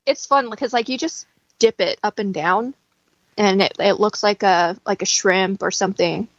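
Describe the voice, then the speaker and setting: A woman's voice: medium pitch, moderate pace, dry recording. Woman, dull lo-fi sound, chatting. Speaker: guest. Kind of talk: casual chat.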